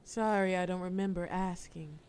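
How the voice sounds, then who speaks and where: A woman's voice: lowish voice, steady tone, moderate pace, darkish tone, slight background noise, lightly acted. A woman playing a sly, flirtatious mafia boss in an audio roleplay, with a wry, teasing, formal way of talking. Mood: cool, defiant. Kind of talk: scripted audio roleplay acting.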